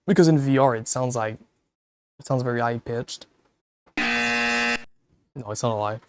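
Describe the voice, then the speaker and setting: A man chatting casually in a relaxed, rambling way, swearing freely and chuckling now and then, speaking into a cheap wireless headset mic held far from his mouth. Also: medium pitch, unhurried, heavy background noise. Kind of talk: casual chat.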